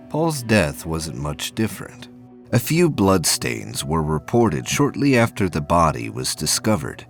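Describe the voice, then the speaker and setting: A man with a low voice narrates a science fiction audio drama. His delivery is formal and measured, with lively movement in pitch, and faint music plays behind him.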